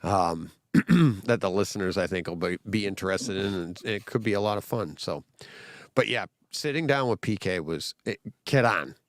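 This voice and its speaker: A man hosting a podcast, rambling in an animated way and laughing easily. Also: low voice, normal speed, no echo, studio quality. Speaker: podcast host. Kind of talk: casual chat.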